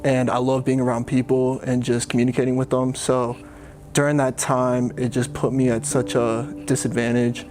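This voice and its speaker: A man's voice: mid-range voice, level tone, moderate pace, a little mellow. A young man from Colorado, a sports communication student, answering interview questions in a plain, level way. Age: young adult. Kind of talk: documentary interview. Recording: music bed under the voice.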